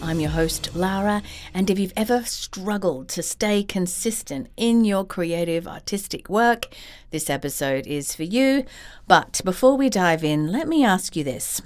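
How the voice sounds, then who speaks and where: A woman hosting her own podcast as a creativity coach, talking straight to listeners in an encouraging way, a little croaky from a chest cold. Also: on the low side, expressive, measured pace, soft background music. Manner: warm, encouraging, direct to listeners. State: slightly croaky from bronchitis. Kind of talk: solo podcast talk.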